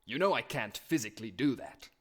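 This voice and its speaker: Male actor as sardonic worm hunter, grumpy, disdainful, theatrical puppet show delivery, very animated intonation. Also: mid-range voice, fairly quick. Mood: irritated, unimpressed. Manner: sardonic, exasperated, dramatic.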